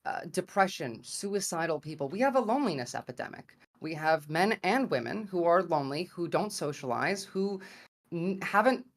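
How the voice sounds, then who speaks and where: A woman with an American accent and a somewhat low voice speaks as a debate guest. She argues in a conversational style, pausing to search for the right word as she builds her point. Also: expressive. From grew up in America. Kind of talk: argumentative debate opening statement.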